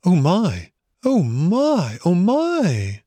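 Guy doing a wide-eyed storybook mole, male, high voice, polite and earnest, swoopy. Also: sing-song, moderate pace, no echo. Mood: awestruck. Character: timid, wonderstruck, eager male mole, naive and polite. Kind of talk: animal storybook character voice.

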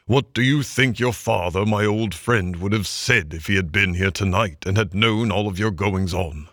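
A man voicing a stern older male badger in a storybook, gruffly kind, with a dry, weighty, commanding manner. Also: lowish voice, normal speed, fairly bright tone, no room sound. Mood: severe. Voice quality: thin, dry. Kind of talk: storybook character voice.

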